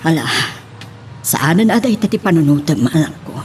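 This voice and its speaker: A woman's voice: deep voice, expressive, moderate pace, darkish tone. A woman with an Ilocano Filipino accent playing a caring, worried mother, soothing and imploring, in an audio drama. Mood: tender, imploring. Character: caring, worried mother comforting her grown daughter. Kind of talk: Ilocano radio-style drama acting. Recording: noisy track with background ambience.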